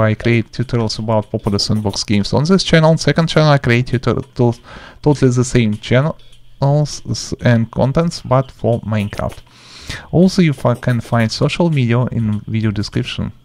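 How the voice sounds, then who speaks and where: A man with a non-native English accent giving a gameplay tutorial in halting, choppy phrases with broken grammar. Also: mid-range voice, a little mellow. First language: not English. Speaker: game tutorial host.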